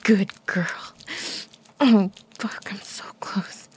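A woman whispering an intimate audio roleplay, breathy, teasing and commanding. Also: mid-range voice, fairly even intonation, drawn-out words, a little mellow.

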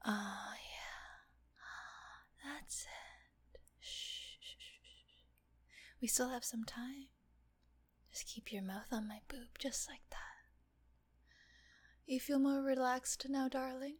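A woman voicing a teasing, doting female nurse in an intimate audio roleplay, talking softly and often in a whisper. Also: high voice, fairly even intonation, slow, long pauses, slightly rough, heavily acted. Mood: teasing, coaxing.

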